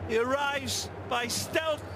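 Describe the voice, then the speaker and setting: A man commentating on a football match, piling on colorful wisecracks and homespun metaphors. His voice sits very high and his delivery is slow and drawn out. Loud noise runs under the whole recording. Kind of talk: sports commentary.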